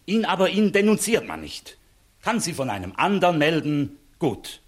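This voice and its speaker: A man playing a stern, sceptical inspector of high rank in a classical radio comedy, curt and authoritative. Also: very animated, normal speed. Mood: stern, impatient. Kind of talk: 1960s German classical comedy radio play acting.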